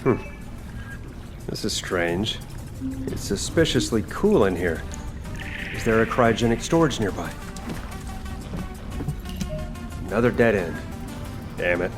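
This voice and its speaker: An actor playing a reluctant, casual chef fighter in a video game, informal and given to grumbling. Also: male voice, on the low side, animated, measured pace, long pauses, over music. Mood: puzzled, irritated.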